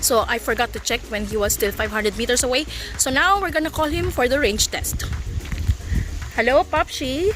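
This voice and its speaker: A woman's voice: high-pitched, moderate pace, background noise. A woman with a Filipino accent giving casual, cheerful vlog commentary outdoors. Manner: giggly, cheerful. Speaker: vlogger reviewing a product. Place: outdoors in a forest.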